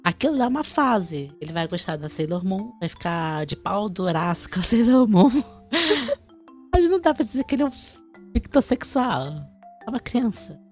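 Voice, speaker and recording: A woman's voice: mid-range voice, big pitch swings, normal speed, a little mellow. A young woman with a Brazilian accent hosting a casual explanatory chat, with music under her voice. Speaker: video host.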